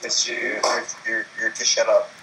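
A man's voice: lowish voice, monotone, normal speed, background noise. A teenage male gamer chatting casually while playing, stammering and joking. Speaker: game player. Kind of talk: casual gaming chat.

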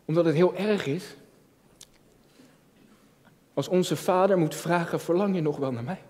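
A man's voice: a little high, measured pace, darkish tone. A man in his early thirties preaching a personal, reflective sermon, earnest, repeating phrases for emphasis and asking rhetorical questions. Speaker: junior pastor. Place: indoor church stage at a lectern, filmed from a distance. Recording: long hall reverb.

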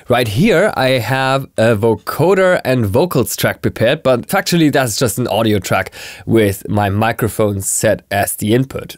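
A man in his thirties giving a conversational, explanatory music software tutorial in an expressive way. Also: mid-range voice, no room sound, clean studio sound. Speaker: music production tutorial presenter. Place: small home studio, indoors.

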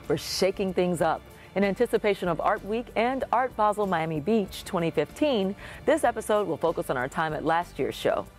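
A middle-aged woman hosts an arts show from a studio, her delivery polished and scripted. Her pitch moves a lot, rising and falling with each phrase. Soft music plays underneath. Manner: lively, polished presenter delivery. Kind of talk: scripted presenting. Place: dark TV studio.

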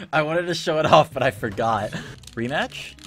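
A young man hosting a gaming video, teasing his friend with loud, laughing trash talk as they play. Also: fairly high pitch, very animated, slow pace. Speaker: gaming channel host. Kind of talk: casual gameplay banter. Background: game music.

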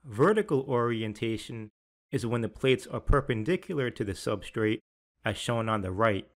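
A man, a sales and applications engineer, giving a measured scripted voice-over that explains technical slides and software. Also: mid-range voice, expressive. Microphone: voice-over over slides and software screens.

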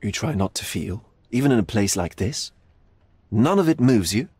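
A man playing a sly, hedonistic, eccentric art gallery owner in a video game, with drawn-out theatrical philosophizing. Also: on the low side, expressive, darkish tone, husky, dry recording. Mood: teasing, rapturous. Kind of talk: video game cutscene acting.

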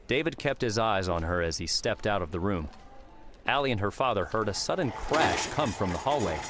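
A man's voice: on the low side, a bit bright, a little hiss or hum. A man narrating scripted third-person fiction as an audiobook narrator. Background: music.

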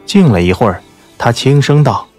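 A man's voice: medium pitch, lively intonation, moderate pace, darkish tone, faint music behind. A man narrating a novel as a scripted literary audiobook.

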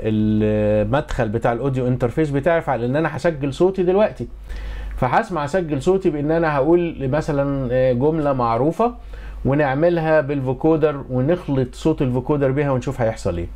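A man's voice: medium pitch, mellow. A middle-aged man with an Egyptian accent explaining in an informal, conversational tutorial style, posing rhetorical questions as an instructor. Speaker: music and audio engineering instructor. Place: small home music studio, indoors.

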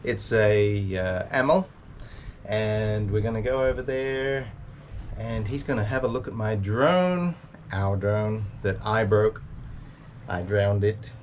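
A man's voice: on the low side, slow, slight background noise. A man in his forties talking casually to camera as a sailing vlogger on a boat.

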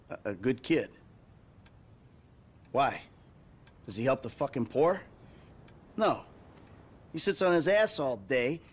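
A man acting a bitter, disillusioned middle-aged ex-criminal in a video game, husky, sarcastic and world-weary. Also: on the high side.

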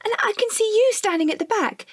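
A woman's voice: very high-pitched, animated, fairly quick. A woman playing an eager, awed nine-year-old girl full of questions in an audio drama. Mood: curious. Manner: wide-eyed, questioning, childlike.

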